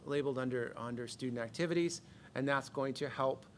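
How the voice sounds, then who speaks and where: A man's voice: mid-range voice, a little fast, a little hiss or hum. A man presenting a handbook and policy plan to a school board, earnest and hesitant, with many fillers and restarts. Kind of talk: meeting presentation.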